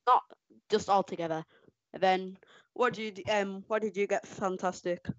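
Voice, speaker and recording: A woman co-hosting a casual podcast, excitable and quick to laugh. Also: medium pitch, no echo, lo-fi sound. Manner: excitable, laughs often, animated outbursts. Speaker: podcast co-host. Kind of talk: casual chat ranking wrestlers.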